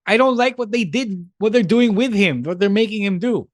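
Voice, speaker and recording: A Filipino-accented man talks fast and loose on a podcast, swooping from high to low as he gets worked up. His voice sits high for a man and bounces all over the place, laughing between thoughts. The sound is dry and close, with no room around it. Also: no room sound. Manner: animated, laughs often, restarts mid-sentence. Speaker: podcast co-host. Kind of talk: casual chat mixing English and Tagalog.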